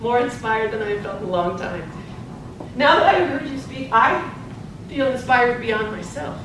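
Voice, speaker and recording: A woman's voice: animated, moderate pace, lightly acted. A woman playing an earnest, eager newspaper reporter in a live stage play, recorded in an echoey room. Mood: eager, awed. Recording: echoey noisy room, distant mic, low rumble.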